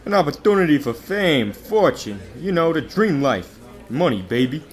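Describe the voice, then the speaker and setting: Guy doing a pushy talent scout for a radio play, slick hustler, pitch swings all over. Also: sing-song, moderate pace, soft background music. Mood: brash, persuasive. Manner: salesman-like hustling patter. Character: fast-talking showbiz scout, slick, brash opportunist. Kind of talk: amateur radio drama acting.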